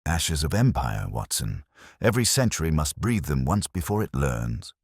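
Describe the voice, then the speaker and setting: A very low-pitched, weary detective, as a male narrator plays him, speaks with measured eloquence. The delivery is philosophical and gently wry, with lively swings of pitch in a dry, close storybook recording. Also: breathy edge, no room sound, full character voice. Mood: wry, grave. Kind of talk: audiobook character dialogue.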